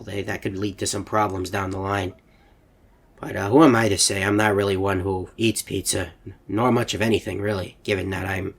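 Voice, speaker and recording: Man voicing a hesitant, low-voiced animatronic robot. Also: creaky. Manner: hesitant, stammering, polite. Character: anxious, mild-mannered animatronic robot worried about children. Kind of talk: game character monologue.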